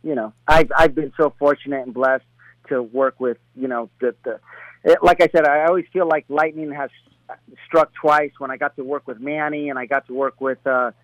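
A man's voice: medium pitch, fairly even intonation, moderate pace, dry recording, poor audio. A middle-aged man from Colombia, a boxing trainer, talks casually as a guest. He rambles, with many restarts and fillers. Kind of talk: casual interview talk.

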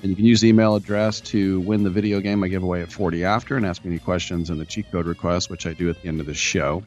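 A man hosting a live radio talk show, chatty and rambling, with a raspy voice today. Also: low-pitched, steady tone, fast talker, a little mellow, muffled recording, faint music behind.

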